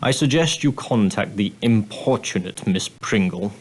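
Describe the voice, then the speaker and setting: Casting: prim male ship's steward, game role. Lowish voice, formal, deferential, precise, dryly polite. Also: expressive, measured pace, slightly rough. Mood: discreet, formal. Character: prim, proper, straight-laced ship's steward on an ocean liner. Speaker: video game character. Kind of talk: scripted game dialogue, giving guidance.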